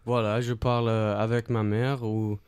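A man from Australia chatting casually as an interview guest in a studio, speaking halting French and searching for words. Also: lowish voice, level tone, darkish tone, clean tone, dry recording. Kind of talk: casual chat.

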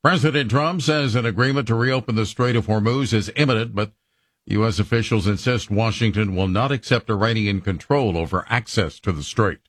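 A man reading the radio news in a crisp, formal manner. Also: fairly low pitch, a little fast, no echo, clean studio sound. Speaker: newscaster.

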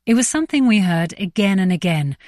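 A woman's voice: medium pitch, expressive, fairly quick, a little mellow, no room sound. Composed female radio presenter, dry sound.

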